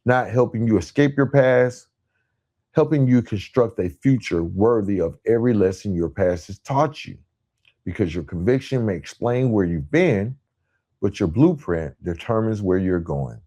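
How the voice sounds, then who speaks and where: A man with a very low, dark and mellow voice hosts a motivational podcast. He speaks earnestly and emphatically. His phrases often come in parallel, repeated runs that press each point home. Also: animated, moderate pace. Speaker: podcast host. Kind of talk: motivational monologue.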